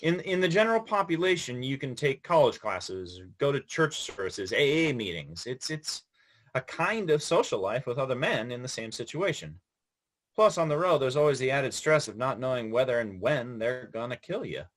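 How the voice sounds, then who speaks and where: An actor playing an earnest young defense lawyer who talks with small stammers. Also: male voice, medium pitch, big pitch swings, moderate pace, fairly bright tone. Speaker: actor in a play reading. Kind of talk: stage play reading.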